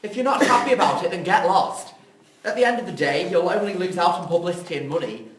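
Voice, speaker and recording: A scornful, sharp-tongued writer, acted by a man in an audio drama. His pitch moves around a lot and his delivery is cutting. The room is echoey. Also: expressive, fast talker. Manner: sarcastic, cutting. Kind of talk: amateur audio drama reading. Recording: roomy, echoey amateur recording.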